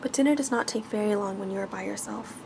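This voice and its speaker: A woman reading a bedtime story in a soft, hushed ASMR style that at times turns whispery. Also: mid-range voice, fast talker, vocal fry, noisy background.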